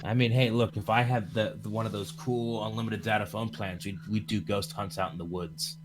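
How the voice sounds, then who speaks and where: A man's voice: lowish voice, steady tone, fairly quick, background noise. A young man bantering casually on a live stream with friends. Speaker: voice actor on a stream.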